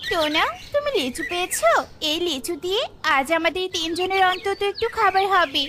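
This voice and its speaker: A woman's voice: very high-pitched, animated, moderate pace, heavy background noise. A woman voicing a tender, anxious mother bird in a children's cartoon.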